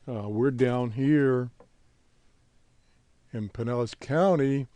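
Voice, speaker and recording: Male hobby-show host, American, unhurried and halting, relaxed soft-edged tone, dry close sound. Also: medium pitch, dry recording. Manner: halting, laid-back, rambling. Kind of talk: casual unscripted update.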